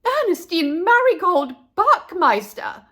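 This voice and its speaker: An anxious storybook mother, acted by a woman reader, calls out in a high voice with big swoops. She is exasperated and emphatic, drawing her words out slowly. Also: very animated, sharp tone, full character voice. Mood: scolding. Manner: calling out, emphatic. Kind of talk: children's storybook character voice.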